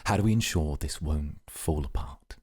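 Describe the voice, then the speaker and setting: A man playing a composed, calculating, coolly amused mafia boss, speaking in a measured, formal way in an ASMR roleplay. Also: very deep voice, a little mellow, husky, no echo, clean studio sound. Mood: measured, firm.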